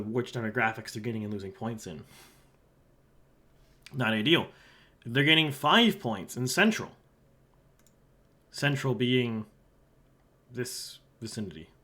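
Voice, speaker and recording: A man from Halifax with a sore throat, giving casual solo commentary as he walks through an election forecast map. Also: mid-range voice. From Halifax, Canada. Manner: casual and conversational, drops quieter aside asides while pointing at a map. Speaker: election analyst, channel host.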